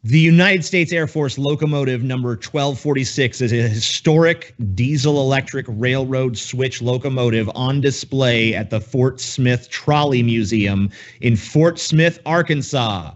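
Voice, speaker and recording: A man with an average-pitched voice talks casually as a podcast co-host, swearing freely. His delivery is sarcastic and mock-grandiose, and the sound is slightly muffled and lo-fi. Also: a little slow, few pauses. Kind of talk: casual, profane banter.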